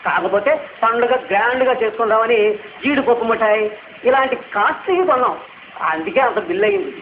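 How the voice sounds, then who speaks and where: Breezy son-in-law, rapid speech, ingratiating, insistent, radio comedy acting, echoey phone-like old recording. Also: male voice, very high voice, animated, a little hiss or hum. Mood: flattering, insistent. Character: breezy, flattering son-in-law spending freely.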